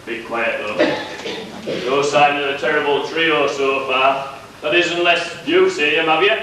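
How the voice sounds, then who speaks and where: A man's voice: a little high, unhurried, bright, forceful tone. A man playing a genial, bumbling comic police constable addressing the audience in an amateur pantomime. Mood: chatty. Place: church pantomime stage, far camera. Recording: noisy echoey hall from far off.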